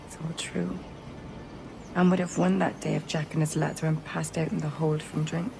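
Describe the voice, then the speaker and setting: An actress playing a rough-living young pirate woman, mournful and confessional, in a video game cutscene. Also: low voice, little pitch movement, moderate pace, background noise. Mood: grieving.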